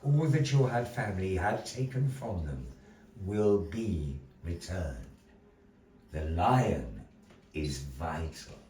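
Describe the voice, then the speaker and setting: A mysterious fortune-teller woman, as a male puppeteer puts her on, with a low, hushed, solemn voice and slow prophetic phrasing. She is heard from a distance in an echoey gallery, with a faint murmur of room ambience. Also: big pitch swings, a little hiss or hum, exaggerated voice. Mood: solemn prophecy. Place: gallery venue, live show.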